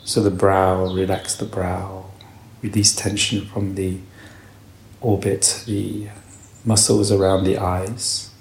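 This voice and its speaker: A man guides a meditation in a low voice with a creaky, gravelly edge. He speaks gently in short, unhurried phrases with long pauses between them. The sound is roomy, with some echo around his voice. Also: little pitch movement. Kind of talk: guided meditation, calm spoken instruction. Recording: roomy echo, faint background noise.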